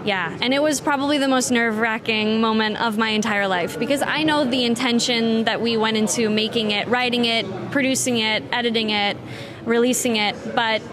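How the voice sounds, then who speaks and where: A young woman with an American accent speaks eagerly and laughs easily, her voice sitting a little high. Crowd chatter fills the space around her handheld mic. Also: moderate pace. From England. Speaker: film director, guest. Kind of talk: casual interview. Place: festival media wall, indoors, busy.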